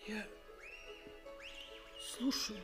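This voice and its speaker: A woman's voice: drawn-out words, a bit bright. A middle-aged woman acting in a radio play as a kindly, genteel mother of a bride, hesitant, polite and given to flustered exclamations. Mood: flustered, astonished. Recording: radio play recording with music.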